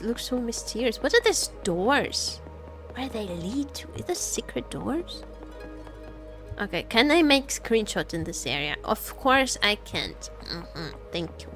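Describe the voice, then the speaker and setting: Slow-talking woman streamer. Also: mid-range voice, a bit bright. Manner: playful, repeats words and phrases. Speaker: game livestreamer. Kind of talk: casual reaction commentary to chat while gaming. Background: faint game music.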